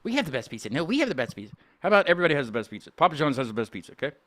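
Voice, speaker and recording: Guy hosting a hangout chat, kinda high voice, bouncy, trips over his words a lot. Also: very animated, rapid-fire, a bit bright. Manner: rambling, stammering restarts, laughs often. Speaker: podcast host. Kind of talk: casual group chat with band guests.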